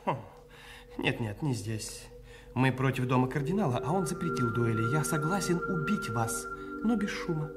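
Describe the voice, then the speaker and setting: A man's voice: mid-range voice, animated, normal speed, loud music behind the voice, lightly acted. Radio drama role: courteous, precise musketeer male actor, meek on the surface, touchy underneath, medium register. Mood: cool, ironic. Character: refined musketeer, outwardly meek but quick to take offence. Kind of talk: radio play acting.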